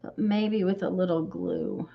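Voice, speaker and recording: A woman hosting a craft livestream, chatting casually and greeting viewers by name as she works, her voice slightly croaky from a cold. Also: lowish voice, very animated, measured pace, highs cut off. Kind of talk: casual chat while crafting.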